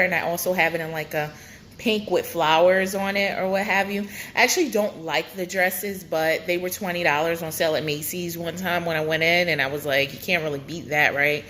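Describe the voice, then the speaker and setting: A woman's voice: on the low side, fairly bright tone, a little hiss or hum. A young woman vlogging a casual pregnancy update, chatty and rambling with many fillers, her nose stuffed up.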